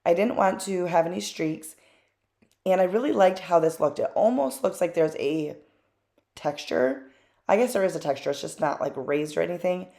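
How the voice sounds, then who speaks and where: Chatty woman, lowish voice. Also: normal speed, vocal fry, dark tone. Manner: chatty and candid, frequent fillers and asides. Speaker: craft channel host. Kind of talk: casual voice-over narration of a craft video.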